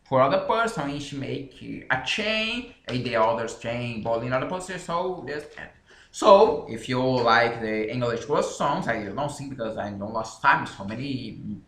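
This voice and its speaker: A man from Brazil speaking English with a Brazilian Portuguese accent, talking casually and haltingly, correcting himself as he goes. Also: mid-range voice, expressive, a bit bright, echoey room. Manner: halting, self-correcting non-native English with frequent pauses. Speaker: independent author. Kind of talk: casual explanatory talk to camera.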